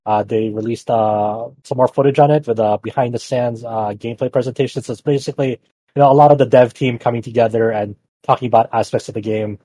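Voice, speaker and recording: A man's voice: mid-range voice, fairly even intonation, fast talker, mellow, husky, dry recording, rough recording. Loose, filler-heavy male podcast host. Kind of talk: casual podcast chat.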